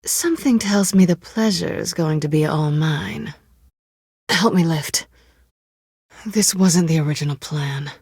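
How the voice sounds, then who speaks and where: Sardonic female thief, video game dialogue, slightly low pitch, breathy husky voice, flirtatious, understated, dry studio sound. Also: measured pace, long pauses, a little mellow, no room sound, heavily acted. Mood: dry, teasing.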